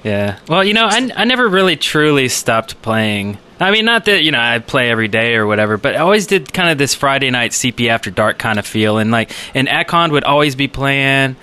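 A man's voice: mid-range voice, lively intonation, fairly quick, hardly any pauses, bright, forceful tone, clear, resonant tone. A man co-hosting a casual gaming podcast with an easygoing, rambling way of talking. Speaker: podcast co-host.

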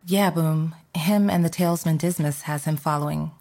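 A woman's voice: deep voice, fairly even intonation, moderate pace, a little mellow. A woman voice actor reading script lines and stumbling over tongue-twisting names.

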